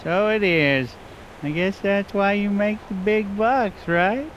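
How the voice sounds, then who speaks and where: A man playing a folksy, placating small-town lawman in an animated game, breezily evasive. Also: high-pitched, takes it slowly, muffled recording, a little hiss or hum.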